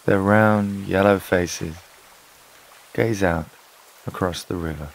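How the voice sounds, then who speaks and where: A man narrating a scripted sleep meditation in a calm, soothing, unhurried way, with long pauses between phrases. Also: sits low, a little hiss or hum, low-quality recording. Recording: water and rain sounds behind voice. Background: flowing stream, rain-like ambience.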